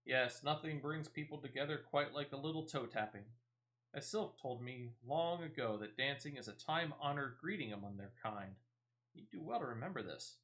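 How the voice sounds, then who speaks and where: A man's voice: medium pitch, animated, normal speed, a bit bright, low-quality recording. A cheerful old adventurer who rambles and keeps losing his thread as his memory fails him, as a man reads him aloud. His voice rises and falls as he wanders through half-told stories in a fantasy game. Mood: delighted. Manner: rambling, trailing off mid-sentence.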